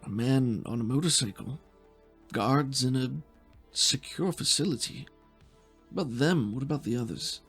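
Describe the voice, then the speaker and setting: A man voicing an intense, suspicious male psychic in a tabletop game, speaking in dramatic, halting phrases with weighty pauses. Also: mid-range voice, fairly bright tone, slightly rough, faint music behind. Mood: probing, suspicious. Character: intense probing male psychic who reads objects, grave and suspicious.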